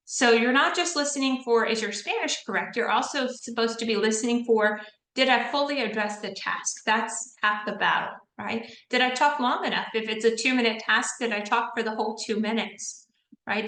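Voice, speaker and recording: Woman tutor on a video call, bright and fairly high voice, roomy echo, chatty and informal. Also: normal speed. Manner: chatty, informal, frequent ums and "right?" checks. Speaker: test-prep tutor. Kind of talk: online group tutoring session. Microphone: computer mic on a video call.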